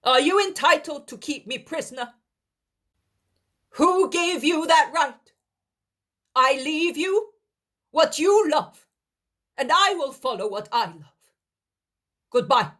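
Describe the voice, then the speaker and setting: A woman's voice: high-pitched, expressive, slow pace, pauses often, fairly bright tone, voice pushed up, exaggerated voice. A woman doing an honest, earnest young man. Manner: earnest, pleading. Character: honest, pious young man, pleading and defiant.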